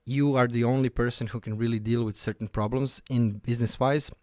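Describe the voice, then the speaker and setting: A man's voice: medium pitch, steady tone, a little fast, a little mellow, dry recording, clean studio sound. A man in his thirties with a Croatian accent, giving an informal talk introducing himself as a company founder. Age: 30s.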